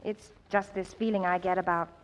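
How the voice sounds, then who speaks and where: An actress playing a wistful, hesitant young woman just out of prison, in 1940s radio drama style, soft and halting. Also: lowish voice, fairly even intonation, natural voice. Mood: wistful, downcast. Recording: old radio recording, long reverb.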